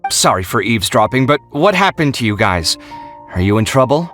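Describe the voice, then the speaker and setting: An actor playing a sarcastic, easily exasperated young housemate in a game cutscene. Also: male voice, mid-range voice, very animated, brisk, fairly bright tone, faint music behind.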